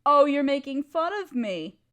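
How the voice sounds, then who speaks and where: A woman's voice: sits high, animated, normal speed, a bit bright. A woman playing a playful, affectionate wife who loves poetry, theatrical and teasing, in a romantic audio roleplay.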